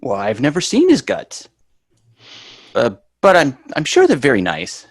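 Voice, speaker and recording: A man reads the part of a young sitcom son. His mid-pitched voice swings up and down in a dry, close recording. He is reluctant, sarcastic and full of wisecracks. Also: huge pitch swings, unhurried, breathy edge, dry recording, full character voice. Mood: grudging, sarcastic.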